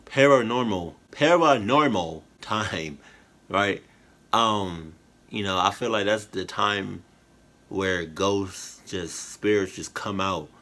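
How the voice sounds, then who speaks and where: A tired man talks to his viewers at an unhurried, drawn-out pace. His voice sits a little low, and his casual reaction commentary wanders through slang, fillers and restarts. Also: sharp tone. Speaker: YouTube reactor. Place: at home, indoors.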